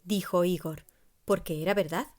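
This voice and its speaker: A woman narrating a scripted children's story expressively, with deliberate emphasis. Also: on the low side, fairly even intonation, a little mellow. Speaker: author narrating her own story.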